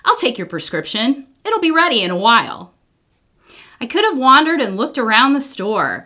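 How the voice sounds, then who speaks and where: A middle-aged American woman reading a children's book aloud in an expressive, deliberate way. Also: mid-range voice. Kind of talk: read-aloud storytelling, scripted. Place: small indoor room, wall covered in papers.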